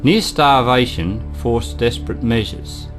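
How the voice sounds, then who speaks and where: Man narrating history over clear music. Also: medium pitch, measured pace. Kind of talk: scripted historical documentary narration. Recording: music bed under the voice. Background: instrumental music.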